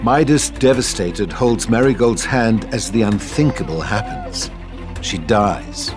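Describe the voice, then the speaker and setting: A man's voice: lowish voice, huge pitch swings, measured pace, over loud music. A man narrating a scripted drama, reading stage directions. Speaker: narrator of a script table read.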